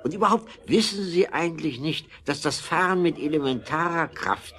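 A man's voice: on the high side, lively intonation, normal speed, a bit bright. An actor playing a scornful, officious man in a historical audio drama, speaking emphatically and with disapproval. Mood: stern, officious. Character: scornful male townsman and stern, officious district official.

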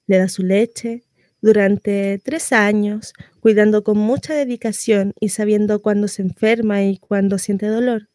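A woman performing a dramatic monologue as a devoted mother counselling her daughter, with deliberate pauses. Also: mid-range voice, steady tone, mellow, no echo, studio quality, natural voice. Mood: tender, earnest. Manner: slow, deliberate, pausing delivery. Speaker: actress.

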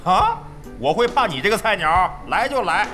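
Loudmouth old-hand gamer, highish voice swinging all over, blustery radio drama, music underneath. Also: male voice, big pitch swings, moderate pace. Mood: cocky, teasing. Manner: blustering, theatrical, crude. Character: brash, boastful veteran male gamer, blustering and face-saving. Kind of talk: Chinese radio drama acting. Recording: music behind the voice.

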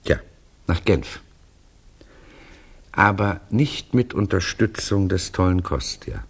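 A man playing a knowing, reflective storyteller in a German radio play, explaining patiently and deliberately in conversation. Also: deep voice, sing-song, gravelly, slightly rough, full character voice. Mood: storytelling. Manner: deliberate, ruminative, gently correcting.

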